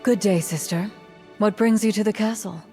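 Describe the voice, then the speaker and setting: An actress playing a composed witch of a castle coven, formal and measured, in a video game. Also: medium pitch, mellow, faint music behind, close to an everyday voice. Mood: formal, cool. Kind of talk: dramatic video game cutscene acting. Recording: long hall reverb.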